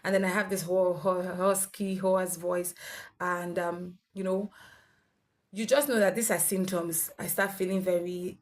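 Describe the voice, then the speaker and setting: A woman's voice: fairly low pitch, level tone, measured pace. A young woman giving earnest, instructive health advice to her viewers. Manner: earnest, instructive, with fillers and restarts. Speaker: health vlogger with sickle cell. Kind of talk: advice monologue to camera.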